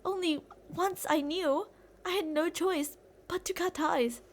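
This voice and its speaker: A woman's voice: very high-pitched, steady tone, measured pace, a little hiss or hum. A woman playing an obsessive, delusional young captor in an intimate, rambling ASMR roleplay monologue addressed to a captive. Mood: wounded, bitter.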